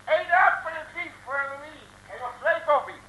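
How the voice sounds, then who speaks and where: An actor playing a cocky, jovial, streetwise detective in an old comic Dutch radio serial, breezy and swaggering. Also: male voice, very high voice, moderate pace. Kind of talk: early-1960s Dutch radio serial acting. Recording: old radio recording, faint hiss.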